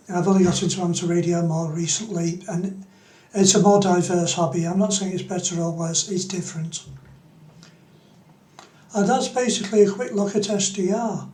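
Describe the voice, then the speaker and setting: A man's voice: high voice, fairly even intonation, normal speed, mellow, echoey room. A middle-aged man, giving an informal explanatory tutorial as a hobbyist. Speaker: amateur radio hobbyist presenting a tutorial.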